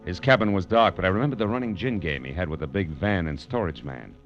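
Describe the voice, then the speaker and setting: Casting: low-voiced, tough, wry private eye for vintage radio drama, dull sound with music underneath. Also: male voice, animated, fast talker. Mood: wry, hard-boiled. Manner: hard-boiled, wry, clipped. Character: tough, wry male private detective narrating his case. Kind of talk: 1940s radio detective drama acting. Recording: dull old radio recording, music behind.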